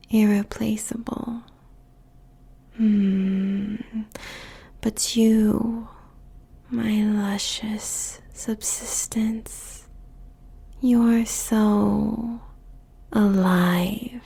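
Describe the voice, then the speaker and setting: Woman as a slow, whispering seductive demon queen. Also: mid-range voice, mellow, heavily acted. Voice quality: breathy, husky whisper. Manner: slow, deliberate, sensual, lingering pauses. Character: seductive, possessive demon queen succubus savoring her victim. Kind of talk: whispered intimate roleplay audio monologue.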